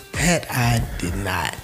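A young man co-hosting a casual sports chat show, talking in a laid-back, slangy, rambling way. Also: lowish voice. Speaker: podcast co-host.

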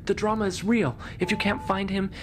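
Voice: medium pitch, little pitch movement, a little fast, noisy background, voice pushed up, close to an everyday voice. A performer voicing a melodramatic, self-important space captain in an improvised radio parody, overwrought and repetitive. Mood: grave, urgent.

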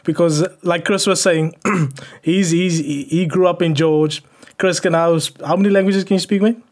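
A man from South Africa steers a relaxed conversation, tossing in tag questions and fillers as he goes. His voice sits a little high for a man. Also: measured pace. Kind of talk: casual chat.

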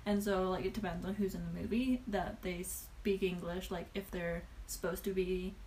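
A woman's voice: fairly low pitch, fairly even intonation, moderate pace, darkish tone, slight background noise. A woman hosting a casual podcast, rambling expressively and acting out her own reactions. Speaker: podcast host. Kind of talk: casual chat.

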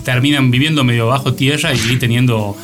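A man's voice: mid-range voice, steady tone, brisk, over music. A man with an Argentine accent co-hosting a casual radio chat, thinking aloud as he goes. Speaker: radio show co-host. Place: radio studio.

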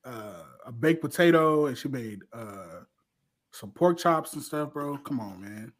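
A man's voice: mid-range voice, expressive, unhurried. An American man talking casually as a guest, repeating phrases as he thinks aloud. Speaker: guest on a livestream. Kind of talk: casual, conversational reflection.

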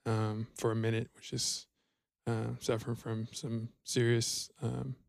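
A young man co-hosting a podcast, speaking in a halting, earnest way full of fillers. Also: mid-range voice, completely flat delivery, creaky, dry recording, clean studio sound. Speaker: podcast co-host. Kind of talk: casual chat.

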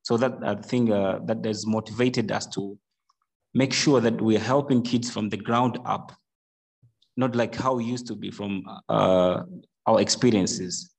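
Low-voiced man. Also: fairly even intonation, gravelly, husky. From Tanzania. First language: Swahili. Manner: halting, searching for words. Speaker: guest, charity team member. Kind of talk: interview answer.

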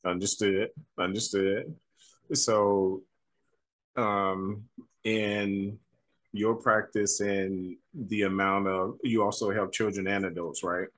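Slow, low-voiced male interviewer. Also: level tone, fairly bright tone, poor audio. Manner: friendly, affirming, with frequent "mm-hmm" and "okay". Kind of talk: interview questions.

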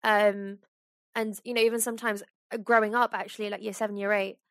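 A woman from Kenya co-hosting a podcast, chatting in an animated, storytelling way with lots of fillers. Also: medium pitch, fairly quick, no echo, studio quality. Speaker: podcast co-host. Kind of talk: casual conversation.

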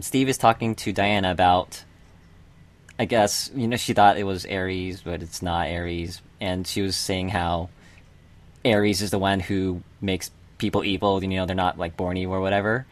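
A man chatting casually on a podcast, speaking in a halting, tentative way. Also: low-pitched, little pitch movement. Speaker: podcast co-host. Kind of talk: casual film discussion.

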